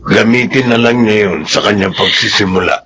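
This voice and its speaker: A man playing a kindly, generous character, firm but soft-hearted, with a husky voice in a Filipino radio drama. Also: fairly low pitch, moderate pace.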